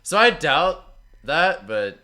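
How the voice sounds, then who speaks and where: A man with a stuffy, congested voice from a cold, chatting casually with his stream viewers and reading out their messages in a relaxed, rambling way. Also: on the high side, takes it slowly. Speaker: streamer analyzing tournament matches.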